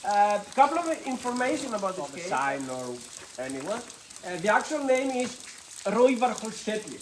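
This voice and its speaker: A woman's voice: mid-range voice, sing-song, moderate pace, a little hiss or hum. A woman from Greece with a Greek accent, speaking English as a second language. She guides a tour group through a lava cave, chatty and playful, joking with the group in loose grammar.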